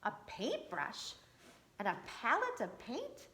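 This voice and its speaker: Brief: woman reading as a thoughtful young wooden girl, unhurried storybook voice. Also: fairly high pitch, wildly swooping pitch, sharp tone, echoey room, full character voice. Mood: wondering. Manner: wondering, thoughtful. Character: curious young female wooden villager.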